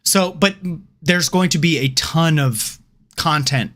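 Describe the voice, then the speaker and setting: Opinionated man, bit higher voice. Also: slow. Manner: animated, opinionated, swears freely, repeats words when starting. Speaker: podcast co-host. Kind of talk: casual chat.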